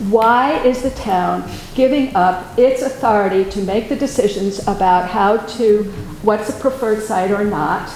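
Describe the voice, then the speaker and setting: A woman's voice: medium pitch, a little mellow. Persistent questioning woman, echoey hall. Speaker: resident commenting at a meeting. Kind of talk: public comment. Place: town meeting hall. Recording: echoey hall, faint noise.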